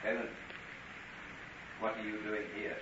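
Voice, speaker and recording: An actor playing a tormented gentleman doctor, strained and urgent, in an old-time radio drama. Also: fairly low pitch, completely flat delivery, measured pace. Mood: anguished, urgent. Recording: distant, echoey, dull noisy radio recording.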